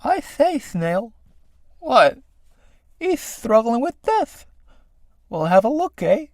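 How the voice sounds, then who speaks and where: A man's voice: very high voice, very animated, slow, no room sound, pitched above the performer's own voice, full character voice. A man voicing nosy, callous little snails in a stage-play animal fable, chatty and gawking. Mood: gawking, amused.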